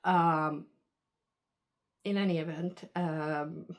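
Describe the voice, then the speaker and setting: A woman speaks to camera in a deep, low voice. She talks slowly and meanders, pausing and hedging her points. It sounds like an unscripted personal vlog. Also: steady tone. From Hungary. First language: Hungarian. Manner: rambling, hesitant, hedging. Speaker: vlogger. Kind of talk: casual monologue to camera.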